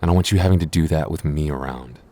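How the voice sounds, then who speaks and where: A man playing a possessive but apologetic young boyfriend in an intimate audio roleplay, speaking in a halting, earnest, conversational way. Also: very deep voice, mellow. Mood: exasperated, protective. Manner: hesitant, halting, stammering earnestly.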